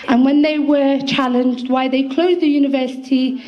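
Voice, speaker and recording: A woman's voice: high-pitched, fairly even intonation, normal speed, muffled recording. Earnest Liverpool woman in her twenties. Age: 20s. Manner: earnest, with fillers. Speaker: guest poet. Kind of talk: speaking to an event audience.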